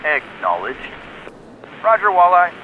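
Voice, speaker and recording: Walkie-talkie lookout, lively and literal-minded. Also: male voice, on the high side, unhurried, narrow, tinny audio, noisy background. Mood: obliging, casual. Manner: terse radio jargon with rambling asides. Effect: walkie-talkie radio filter. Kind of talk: audio drama acting. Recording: band-limited walkie-talkie sound.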